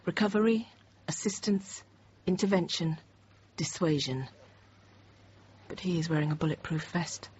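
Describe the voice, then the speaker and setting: An actress in her thirties playing a frightened but composed French teacher held hostage, narrating quietly, often near a whisper. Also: fairly low pitch, normal speed, natural voice. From France. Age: 30s. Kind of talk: first-person dramatic narration. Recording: dull noisy radio drama recording.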